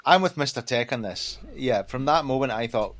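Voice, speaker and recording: A man's voice: mid-range voice, lively intonation, moderate pace, fairly bright tone. A man chatting casually as a panelist in a film review discussion.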